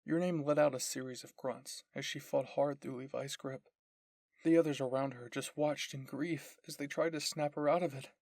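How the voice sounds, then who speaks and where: A narrator reads a dramatic scripted story. The pitch sits in the middle. The sound is dry and close, with hardly any room to it. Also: steady tone, normal speed, darkish tone, no echo. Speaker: narrator and voice of all characters.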